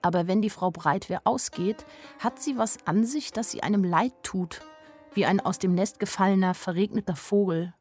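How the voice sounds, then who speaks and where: A woman's voice: medium pitch, moderate pace, darkish tone, soft background music, close to an everyday voice. An actress playing a naive but observant young woman who tells her own story in a wry, plain-spoken radio drama narration.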